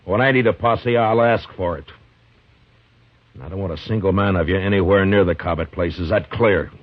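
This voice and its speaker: A stern, deep-voiced frontier marshal. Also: male voice, no room sound. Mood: firm. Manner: firm, measured, commanding. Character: stern frontier marshal, man, middle-aged, calm authority. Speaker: marshal narrating. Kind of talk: 1950s radio drama acting. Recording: dull old radio broadcast recording with music.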